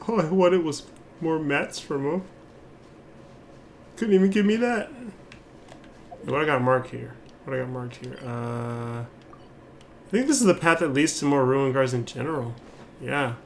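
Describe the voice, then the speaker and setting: A man's voice: medium pitch, lively intonation, unhurried, long pauses. Casual male game streamer, background noise. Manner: informal, joking. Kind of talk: casual live gameplay commentary. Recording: game ambience behind the voice. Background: video game sound.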